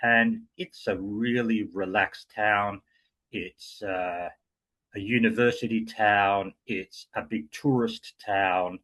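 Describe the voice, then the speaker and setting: Husky Australian man giving a talk. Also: on the low side, fairly even intonation, a bit bright. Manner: measured, explanatory, with frequent fillers. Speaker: guest speaker. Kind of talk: lecture-style talk.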